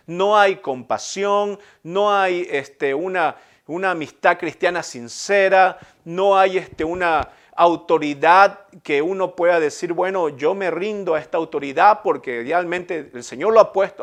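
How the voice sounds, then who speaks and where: Ecuadorian guy preaching, pretty high voice, keeps hammering points with questions. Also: measured pace, few pauses, a bit bright. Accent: Ecuadorian Spanish, Guayaquil. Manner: emphatic, repetitive preaching with rhetorical questions. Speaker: pastor. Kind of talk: sermon.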